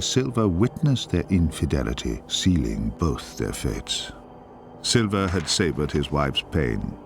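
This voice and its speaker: An actor playing a grave, ominous storyteller, narrating a dark fantasy game in a solemn, portentous and theatrical manner. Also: male voice, a bass voice, full character voice. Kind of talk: dramatic scripted fantasy narration.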